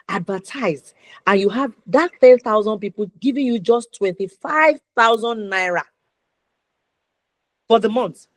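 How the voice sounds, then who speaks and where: A woman with a Nigerian accent hosting an interview show, speaking in deliberate, emphatic phrases and posing rhetorical questions. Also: medium pitch, no room sound. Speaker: talk show host.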